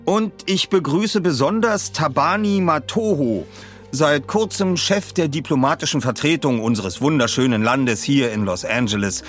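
A man's voice: a little high, normal speed, soft background music, voice pushed up, lightly acted. A man voicing a formal dignitary who gives a gushing, ceremonious thank-you speech in an audio story.

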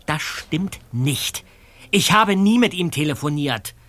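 A man's voice: fairly high pitch, animated, takes it slowly, fairly bright tone. An actor playing a nervous teenage boy detective in a radio play, speaking in a defensive, pleading way.

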